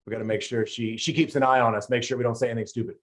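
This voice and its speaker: A man's voice: mid-range voice, fairly even intonation, rapid-fire. A middle-aged man hosting a podcast, chatting casually and rambling into playful stories. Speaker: podcast host. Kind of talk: casual interview chat.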